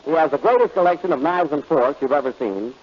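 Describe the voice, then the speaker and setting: A man's voice: a little high, fast. A man hosting a 1930s radio comedy show with a dry, wisecracking delivery. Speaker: comedian host. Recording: dull lo-fi old radio recording.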